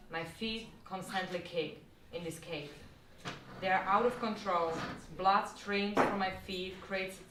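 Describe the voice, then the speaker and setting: A woman reading a first-person literary text aloud at a live event in a bar. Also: on the low side. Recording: echoey, distant live recording with faint noise.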